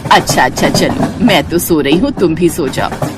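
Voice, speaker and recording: A woman's voice: low-pitched, expressive, moderate pace, pitched below the performer's own voice. Woman voicing a sly, sweet-talking older auntie, noisy recording. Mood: sweetly coaxing. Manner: chatty, coaxing, feigning concern.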